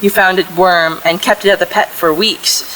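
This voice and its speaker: A woman's voice: fairly low pitch, steady tone, normal speed, fairly bright tone, slight background noise, close to an everyday voice, low-quality recording. A woman playing a loving, worried mother of a teenager in a contemporary audio play, speaking earnestly and tenderly. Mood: tender, wistful.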